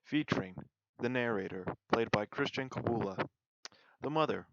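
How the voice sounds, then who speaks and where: A man's voice: mid-range voice, normal speed. A man announcing cast credits for a radio play in a scripted read, recorded with dull sound. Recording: dull, noisy recording with clicks.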